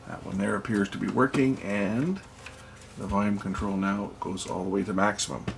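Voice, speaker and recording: Low, gravelly man narrating a repair. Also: fairly even intonation, normal speed, a little hiss or hum. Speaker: electronics repair video host. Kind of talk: casual hands-on repair narration.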